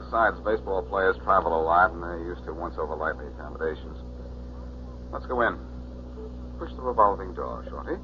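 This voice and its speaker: An actor playing a wisecracking, self-assured amateur sleuth with an American accent in a 1940s radio drama, glib and sardonic. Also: male voice, low-pitched, brisk, close to an everyday voice. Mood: wry, breezy. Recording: dull, noisy 1940s radio recording.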